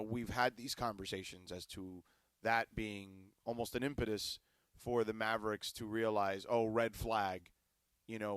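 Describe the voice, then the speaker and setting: A man co-hosting a sports talk radio show, arguing basketball points in casual debate and switching to an energetic live ad read. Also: dry recording. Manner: animated, pitchman energy in the ad read.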